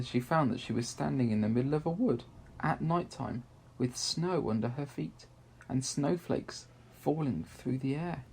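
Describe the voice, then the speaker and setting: A man narrating a children's story aloud in a measured, expressive way with deliberate pauses. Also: mid-range voice, vocal fry, breathy edge.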